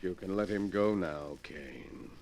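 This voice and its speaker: An actor playing a cold, suave gentleman schemer with smooth, condescending manners in a 1940s radio mystery melodrama. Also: sits low, slow pace, heavy background noise. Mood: calm, coaxing. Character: cold, cunning gentleman schemer, suavely soothing his victims. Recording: 1940s radio broadcast recording.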